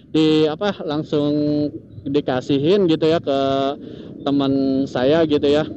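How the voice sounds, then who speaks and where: High-voiced man vlogging over loud road noise. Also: lo-fi sound. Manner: chatty, repetitive tag phrases, chuckles often. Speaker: motovlogger host. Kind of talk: casual storytelling vlog to viewers. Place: outdoors, riding a motorcycle in traffic.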